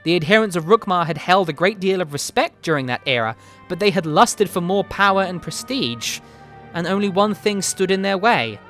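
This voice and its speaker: Higher-pitched man, dramatic story narrator. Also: expressive, moderate pace, fairly bright tone. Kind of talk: dramatic fantasy story reading. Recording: music behind the voice. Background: background music.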